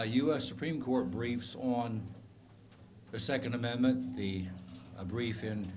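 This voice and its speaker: A man in his sixties with a bad cold, a retired professor giving a measured, methodical lecture to a club meeting, with frequent ums and uhs. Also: medium pitch, noisy background. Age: 60s. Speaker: guest speaker, retired professor.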